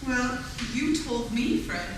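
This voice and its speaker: A woman's voice: fairly high pitch, lively intonation, slow pace. A woman playing a sensible, doubting lady in a 1940s-style radio drama on stage. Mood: tender. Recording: distant echoey hall, faint noise.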